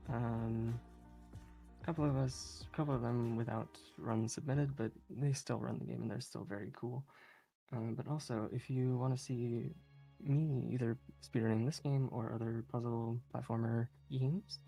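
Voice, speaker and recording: A woman giving casual, halting live commentary as a speedrunner, in an informal way with frequent chuckles. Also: a bass voice, steady tone, creaky, dark tone, slightly rough, soft background music, poor audio.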